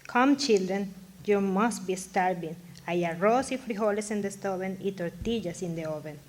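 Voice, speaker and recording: A woman's voice: mid-range voice, moderate pace, a little mellow, close to an everyday voice. A kindly immigrant mother acted by a woman in a live radio play. She has a Mexican accent and drops Spanish into her broken English. Light noise sits behind the voice. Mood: warm, motherly. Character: warm Mexican immigrant mother newly adopting two children. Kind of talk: radio drama acting. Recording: live stage performance, audible background noise.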